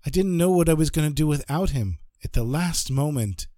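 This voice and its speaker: A man plays a calm, heartfelt disciple recounting his past in an even, earnest voice. The voice sits a touch higher than usual, close and dry. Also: fairly quick, no echo, natural voice.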